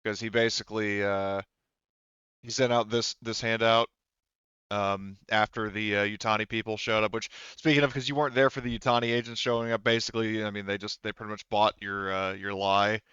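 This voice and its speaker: Smooth low-voiced man running a game. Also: fairly even intonation, fairly quick, fairly bright tone, dry recording, dull audio. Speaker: game master. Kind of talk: casual game-running talk.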